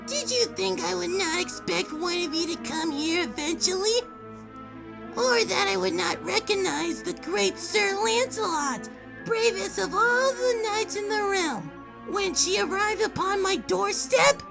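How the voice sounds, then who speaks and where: A woman's voice: extremely high pitch, lively intonation, measured pace, vocal fry, exaggerated voice, low-quality recording. A woman voicing a gloating, menacing pony colt who plays a sly villain in a theatrical story reading. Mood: gloating menace. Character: diabolical, gloating male colt playing a sly stage villain. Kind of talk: theatrical fan fiction voice acting. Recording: music behind the voice.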